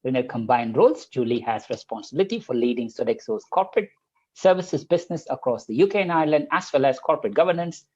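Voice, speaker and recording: A man's voice: medium pitch, expressive, fast talker, a little mellow, husky, muffled recording. A man hosting a podcast, reading a long guest introduction with light jokes and a few stumbles. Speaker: podcast host. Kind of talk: podcast host introduction and interview.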